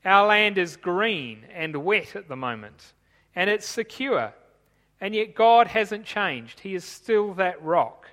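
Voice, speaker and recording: Guy preaching from his notes, kinda high bright voice, big echoey church. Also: animated. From Tanzania. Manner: measured, explanatory, reading from notes. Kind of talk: sermon.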